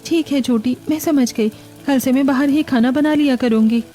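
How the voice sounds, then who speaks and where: A woman's voice: sits high, normal speed, warm tone, faint music behind, pitched above the performer's own voice, lightly acted. A woman with an Indian accent voicing a patient, practical eldest daughter-in-law who keeps the peace in a village family cartoon. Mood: patient, conciliatory. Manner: soothing, reassuring, practical.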